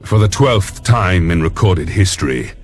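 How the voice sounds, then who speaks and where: A commanding fleet lord admiral for a game cutscene. The voice is on the low side, formal and resolute, each order measured and authoritative, with faint music underneath. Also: male voice, expressive. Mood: grave. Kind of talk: military briefing in a game cutscene.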